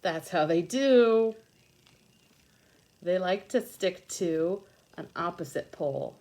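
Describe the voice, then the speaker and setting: A woman's voice: on the low side, lively intonation, slow pace, dark tone. A woman teacher reading aloud to young children, explaining things patiently in simple words with repetition and questions. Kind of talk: read-aloud lesson with explanatory asides for children.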